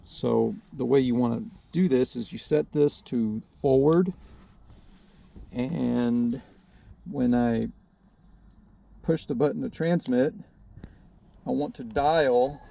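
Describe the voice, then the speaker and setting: Gravelly, mellow man explaining hands-on. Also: medium pitch, takes it slowly, pauses often. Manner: hesitant, unscripted, modest. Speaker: DIY video host demonstrating a radio installation. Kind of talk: casual hands-on how-to explanation.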